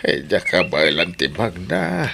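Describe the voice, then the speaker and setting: An ageing father in a radio drama, speaks in a weary, groaning way. He is gentle but grumbles haltingly. The recording has clear background noise. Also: deep voice, wildly swooping pitch, full character voice. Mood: pained, weary.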